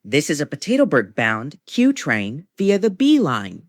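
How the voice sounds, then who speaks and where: Low-pitched woman making train announcements. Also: huge pitch swings, no echo, clean studio sound. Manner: formulaic, measured delivery of short set phrases. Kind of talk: scripted automated transit announcements.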